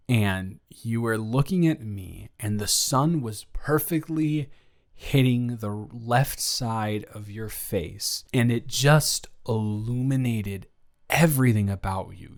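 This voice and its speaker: A man acts out a young guy in love with a best friend, in an improvised romantic audio roleplay. His voice sits in an average male range. He speaks in a nervous, halting ramble, casual and playful, often doubling back on his own sentences. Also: mid-range voice. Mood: tender, wistful. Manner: halting, rambling, self-interrupting, chuckling.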